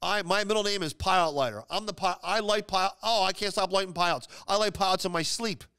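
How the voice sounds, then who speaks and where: A man acting out a boastful eighth-grade boy in a comic story, repeating his brags with building excitement. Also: sits high, a little fast, clear, resonant tone, no room sound, studio quality, voice pushed up.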